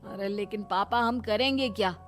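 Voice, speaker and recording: A woman's voice: medium pitch, moderate pace, close to an everyday voice. A woman voicing an earnest, plain-spoken young married son in a cartoon moral story. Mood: puzzled, earnest. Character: young married son, puzzled and conspiring with father. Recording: music bed behind voice.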